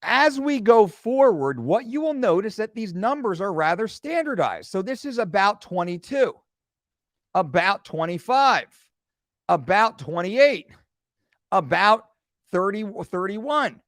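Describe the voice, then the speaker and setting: A man in his forties leads a screen-share fitness lesson with a fairly high voice that swings widely in pitch. He keeps things animated and throws in joking asides. The sound is close and dry, with no room around it. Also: unhurried, no echo. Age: 40s. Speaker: fitness coach.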